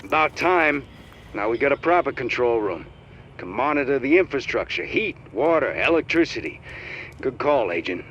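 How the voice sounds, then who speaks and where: A male actor voices a casual, hands-on base technician for a game. His intonation is lively. The sound is a little dull, with faint noise behind it. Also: mid-range voice, moderate pace, close to an everyday voice. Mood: satisfied. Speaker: game character. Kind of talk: video game dialogue.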